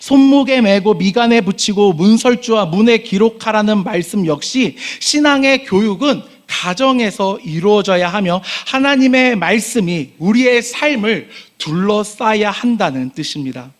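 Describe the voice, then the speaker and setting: Young male pastor, high voice, earnest sermon delivery that builds on key phrases and softens at line ends. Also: lively intonation, moderate pace, few pauses. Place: church sanctuary.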